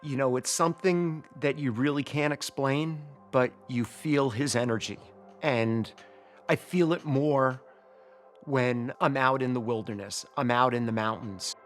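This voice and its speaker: A man's voice: mid-range voice, measured pace, faint music behind. A middle-aged man speaking reflectively in a documentary interview. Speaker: interviewee, father.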